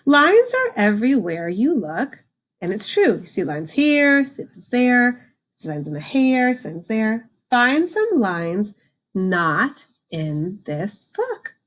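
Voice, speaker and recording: A woman reading a picture book aloud to children, adding informal explanations and slowing down for the book's lines. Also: a little high, big pitch swings. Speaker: read-aloud host, teacher for children.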